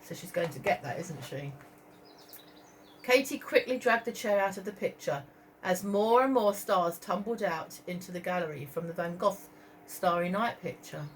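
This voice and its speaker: A woman's voice: on the low side, moderate pace. Woman teacher reading a story, noisy background. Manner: expressive, asks the listeners questions and points out pictures. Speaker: primary school teacher. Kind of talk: storytime read-aloud for young children.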